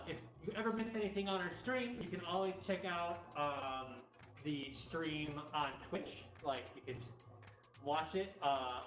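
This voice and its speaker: Lower-voiced woman in an echoey room. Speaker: cat cafe livestream co-host. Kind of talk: casual live chat. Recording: echoey room, faint music behind.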